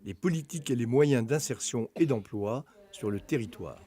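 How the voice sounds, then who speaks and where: A man reading scripted documentary narration in a measured, factual manner. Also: mid-range voice, expressive, crowd noise.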